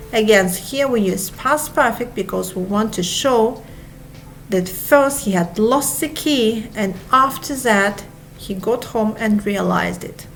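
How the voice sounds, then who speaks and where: Slow, clear woman teaching grammar. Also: medium pitch, a little hiss or hum, poor audio. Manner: repeats each example twice, slowly and clearly. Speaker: English teacher. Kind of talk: slow grammar lesson with drills. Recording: soft music behind the voice.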